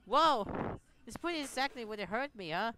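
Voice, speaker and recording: A gaming video host with a Filipino accent giving casual, excitable, repetitive commentary on a game. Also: medium pitch, fairly quick, noisy background. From Philippines.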